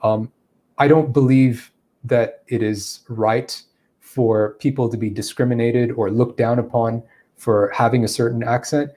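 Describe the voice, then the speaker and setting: Brief: male English teacher, mellow tone, explanatory, filler-heavy Q&A. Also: medium pitch, fairly even intonation, unhurried. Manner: explanatory, thinking aloud with fillers. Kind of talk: answering viewer questions in a lesson.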